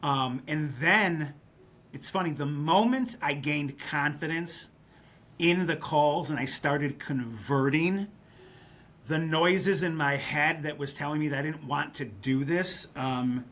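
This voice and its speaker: Brief: male guest from western New York, candid storyteller, slightly raised pitch, chatty asides. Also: takes it slowly, fairly bright tone. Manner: candid, anecdotal storytelling with frequent "right?" asides. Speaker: guest, real estate business leader. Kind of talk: podcast interview storytelling.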